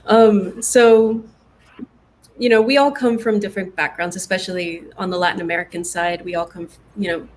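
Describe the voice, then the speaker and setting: Female panel moderator from Puerto Rico, mid-pitched voice, conversational delivery, slight background noise. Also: fairly even intonation, moderate pace. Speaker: panel moderator, game UX designer.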